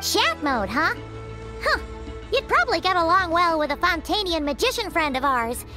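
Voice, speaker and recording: Game role: tiny childlike fairy girl, friendly and exclamatory, sky-high pitch, bouncy intonation. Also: sing-song, normal speed, pushed and bright, soft background music, full character voice. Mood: chirpy, candid. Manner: exclamatory, childlike, explains things simply. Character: tiny chirpy childlike female fairy companion, candid and friendly. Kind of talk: scripted video game dialogue.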